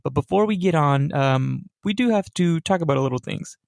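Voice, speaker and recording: A man's voice: on the high side, lively intonation, brisk, creaky, a little mellow, dry recording, clean studio sound. A young man hosting a casual supernatural podcast, rambling with fillers and tag questions like "right?". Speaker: podcast host.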